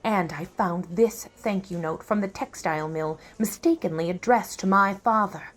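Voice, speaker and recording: An actress playing a dramatic, world-weary femme fatale heiress in a 1940s-style radio play. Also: female voice, medium pitch, moderate pace, natural voice. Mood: urgent.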